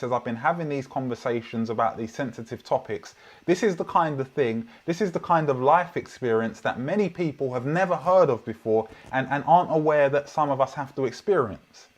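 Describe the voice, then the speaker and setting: A young man with a London accent preaching a sermon, telling personal stories in an earnest, reflective way. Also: mid-range voice. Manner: earnest, reflective storytelling with frequent restarts. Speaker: pastor.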